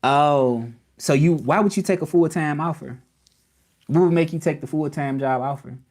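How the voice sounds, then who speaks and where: A man's voice: fairly high pitch, normal speed, darkish tone. A man from Memphis with a Southern accent, rambling casually on a live stream and asking his viewers directly what they would do. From Memphis, Tennessee, US South. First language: English. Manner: rambling, repeats himself, questions the viewers directly.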